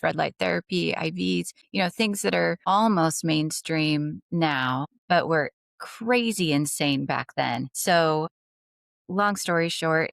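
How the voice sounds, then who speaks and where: Low-voiced woman telling her story. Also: creaky, no echo, studio quality. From Dubai. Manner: earnest, fluent storytelling with occasional restarts. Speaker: podcast guest. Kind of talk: conversational interview answers.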